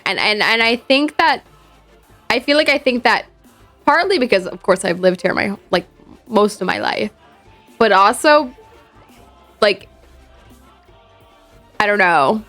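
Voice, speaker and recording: A woman from Ohio with a Midwestern accent, chatting casually with her viewers on a livestream and rambling between thoughts. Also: mid-range voice. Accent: Midwestern American. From Ohio, USA. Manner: rambling, chatty, reads out chat.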